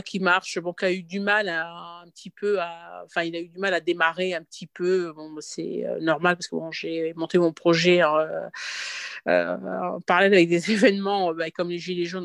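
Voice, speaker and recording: A woman in her fifties from Morocco, a podcast interview guest who talks in a halting way with frequent restarts. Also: on the low side, no echo, clean studio sound. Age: 50s. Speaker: interview guest, tea room owner.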